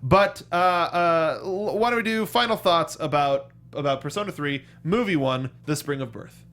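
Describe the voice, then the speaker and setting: Man, slightly high-pitched voice, review show host, casual chat, keen and informal delivery. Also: normal speed, a bit bright. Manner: enthusiastic, informal.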